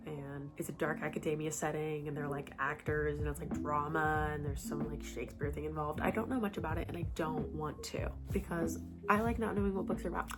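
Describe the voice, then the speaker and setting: A young woman chatting casually about books on her channel, full of "like" and small laughs, a bit sniffly from a cold. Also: low voice. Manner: chatty, filler-heavy, giggly asides. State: slightly congested from a cold. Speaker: book vlogger. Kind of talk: casual chat. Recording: background music under the voice.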